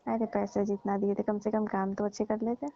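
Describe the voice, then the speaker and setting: A woman's voice: mid-range voice, level tone, a little fast, dark tone, lightly acted. A woman playing a coaxing, teasing middle-aged auntie who persuades and placates in a staged comic phone call. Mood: defensive, teasing. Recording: dull, dry lo-fi phone recording.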